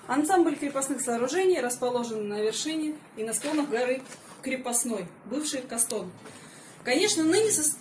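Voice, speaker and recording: A woman's voice: high voice, rough recording. A woman vlogger chatting casually about the sights on a trip, fond of diminutive words. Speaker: travel vlogger. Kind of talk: casual travel vlog chat.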